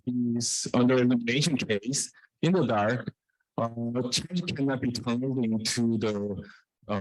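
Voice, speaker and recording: A man presenting research in a technical scientific talk, with a halting, hesitant delivery that often repeats phrases. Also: mid-range voice, a little mellow, dry recording, poor audio.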